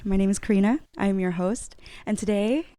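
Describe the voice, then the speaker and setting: A woman's voice: medium pitch, a little fast, dark tone, dry recording, clean studio sound. A young woman hosting a podcast, chatting casually with fillers as she interviews a couple. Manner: friendly, chatty, lots of fillers. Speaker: podcast host.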